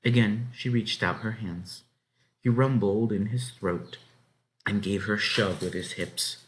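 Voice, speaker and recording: A man reading a children's novel aloud as narrator, in an expressive, dramatic way. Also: on the low side, measured pace, rough recording. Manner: dramatic, animated. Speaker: story reader.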